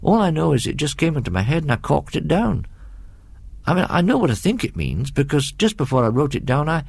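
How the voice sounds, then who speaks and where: Man playing a hesitant British schoolboy, lively pitch. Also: a little fast, vocal fry, husky, no echo, exaggerated voice. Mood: puzzled, hesitant. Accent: British English. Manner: hesitant, offhand schoolboy slang. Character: puzzled Highland schoolboy recounting something odd, offhand and hesitant. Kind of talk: audiobook character dialogue.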